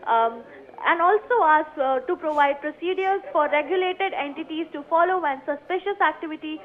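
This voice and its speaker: Female Indian-accented field reporter, high voice, formal halting read, thin phone-quality audio. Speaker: news reporter. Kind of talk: live news report, reading out recommendations. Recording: narrow, phone-like sound.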